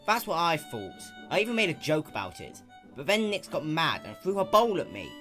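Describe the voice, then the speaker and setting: A woman's voice: sits low, very animated, fairly quick, a bit bright, faint music behind, voice pushed up. A woman voicing a glum, worried young male dragon, hesitant and sullen, in a dramatic fan-fiction reading. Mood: defensive.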